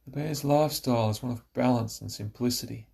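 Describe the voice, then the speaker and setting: A man narrating a scripted guided relaxation, giving slow, instructive phrases with pauses. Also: mid-range voice, gravelly, a little mellow.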